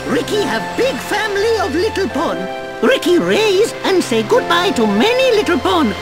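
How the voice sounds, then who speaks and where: A woman's voice: very high-pitched, sing-song, normal speed. A woman voicing a small, cheerful, boastful yet fatherly furry creature in a video game, talking in childlike broken grammar in the third person. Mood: earnest, wise. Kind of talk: video game cutscene voice acting. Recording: loud music behind the voice.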